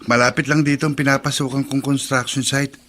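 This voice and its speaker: A man's voice: mid-range voice, level tone, brisk, a crowd in the background, natural voice. A man playing a helpful, insistent young construction worker, eager and friendly, in a radio drama.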